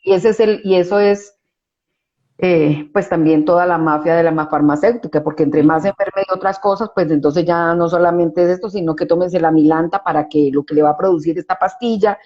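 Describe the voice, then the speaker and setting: A woman's voice: lowish voice, fairly even intonation, fairly quick, dark tone, dull audio. A woman psychologist, explaining her view in an interview, often restarting phrases and adding tag questions for agreement. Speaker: psychologist guest.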